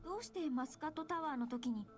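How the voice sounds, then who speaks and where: A woman plays a polite, inquisitive young woman in a Japanese video game. Her voice is high and quick over prominent music. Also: close to an everyday voice. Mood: questioning.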